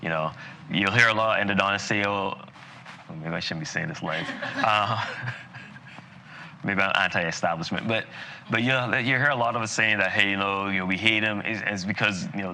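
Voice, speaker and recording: A man with a very low, bright and forceful voice, from Barbados, lecturing dentists live in a relaxed, conversational way full of fillers and asides. Faint noise sits under the recording. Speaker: dental lecturer. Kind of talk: live continuing-education lecture.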